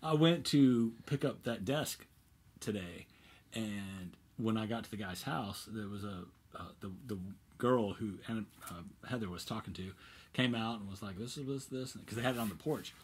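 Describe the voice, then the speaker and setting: A man's voice: on the low side, moderate pace, a little hiss or hum. A man in his forties chatting casually as a co-host, with an informal, slangy, buddy-like way of talking. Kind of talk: casual chat between friends. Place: indoor studio room full of guitars, seated at a table.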